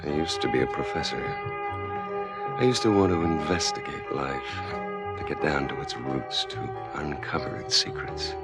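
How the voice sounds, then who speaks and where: An actor playing a weary, disgraced professor turned sinister inventor, speaking with measured deliberation in a noir radio drama. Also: male voice, very deep voice, vocal fry. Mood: brooding, confessional. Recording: 1970s stereo radio drama, music underneath. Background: music.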